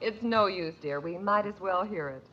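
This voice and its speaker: An actress playing an exasperated, strong-willed suburban wife and mother in a vintage radio sitcom, brisk, sarcastic and emphatic. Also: mid-range voice, slight background noise, close to an everyday voice. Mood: placating. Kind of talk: 1950s radio sitcom acting. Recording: dull vintage radio broadcast.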